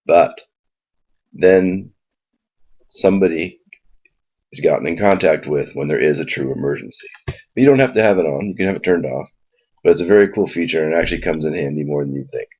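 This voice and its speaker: A man presents a casual software tutorial. His voice is very low and husky, and he explains each step informally. The recording sounds dull and lo-fi. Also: fairly quick, pauses often. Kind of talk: casual spoken screen walkthrough.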